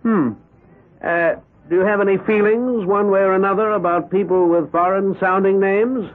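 An actor playing a careful defense lawyer in a radio drama, deliberate and searching. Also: male voice, high-pitched, steady tone, lightly acted. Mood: probing. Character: probing, careful male defense lawyer in court. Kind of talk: 1970s radio drama acting. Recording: muffled old radio broadcast with faint music.

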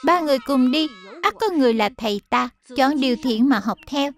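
Woman voicing a studious cartoon boy, high voice, earnest, righteous, bookish, music behind. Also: lively intonation, fairly quick. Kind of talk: Vietnamese cartoon dub acting.

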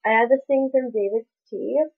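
A woman's voice: a little high, fairly even intonation, normal speed, husky. A young woman vlogging from her bedroom, chatting casually with lots of "like" while sounding congested and stuffy. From Canada. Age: young adult. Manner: chatty, rambling, many "like" fillers. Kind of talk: casual chat to camera. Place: small bedroom indoors. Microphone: webcam at desk distance. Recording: dull lo-fi webcam audio.

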